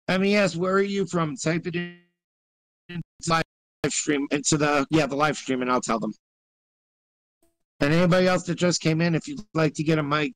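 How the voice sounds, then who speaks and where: A man's voice: on the high side, fairly bright tone, low-quality recording. An American man from New York chatting casually in a live audio chat, blunt and given to swearing. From New York, United States.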